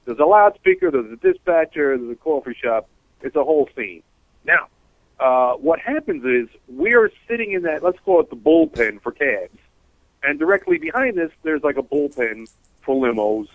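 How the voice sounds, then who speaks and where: A man's voice: a little high, moderate pace, no echo. A man, co-hosting a casual talk show, ranting in a rambling, worked-up way about his job as a cab driver. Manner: ranting, animated, rambling complaints. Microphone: phone call. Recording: tinny phone line, dry.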